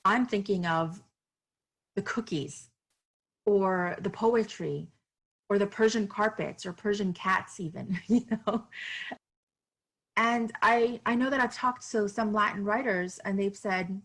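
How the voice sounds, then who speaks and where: A woman's voice: mid-range voice, slow, pauses often, a bit bright. A woman author from Miami, speaking earnestly and reflectively as a podcast interview guest, with fillers and restarts.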